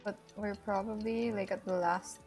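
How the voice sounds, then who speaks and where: A woman's voice: medium pitch, steady tone, normal speed, a little mellow. A woman game streamer giving casual live commentary. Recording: stream mic over game music.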